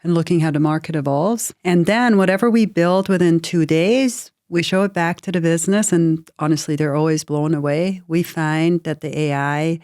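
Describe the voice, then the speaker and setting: A woman's voice: low-pitched, moderate pace, hardly any pauses, a little mellow, no room sound, clean studio sound. A woman in her forties from Switzerland, talking frankly as a guest in an interview, often adding "you know" and "right". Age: 40s. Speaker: guest, AI lead in pharma. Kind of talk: casual interview conversation.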